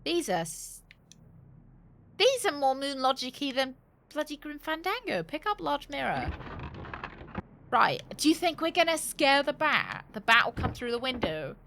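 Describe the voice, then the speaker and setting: High, animated woman streaming. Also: measured pace, creaky, slight background noise. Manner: playful, cheeky, rambling, sweary. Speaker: game streamer. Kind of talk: casual live game commentary, thinking aloud to chat.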